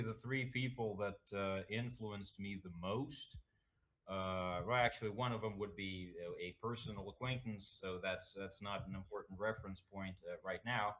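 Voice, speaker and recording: A man in his thirties from Russia, talking casually on a video call stream, hesitant with many fillers and throat clearing. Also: lowish voice, a bit bright, low-quality recording. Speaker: guest. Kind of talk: casual chat.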